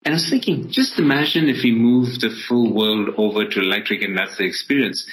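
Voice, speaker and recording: A man's voice: medium pitch, fairly quick, a bit bright, slight background noise, rough recording. A man from Ethiopia, a startup founder, explaining things conversationally as an interview guest.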